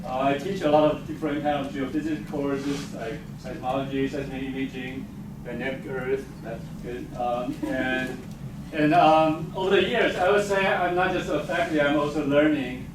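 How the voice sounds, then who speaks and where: A man's voice: medium pitch, fairly even intonation, moderate pace. Echoey Taiwan-born man, English. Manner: non-native grammar, self-deprecating, chuckles often. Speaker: geophysics professor. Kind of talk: casual faculty talk. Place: lecture hall. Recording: very echoey hall, far-off mic, noisy.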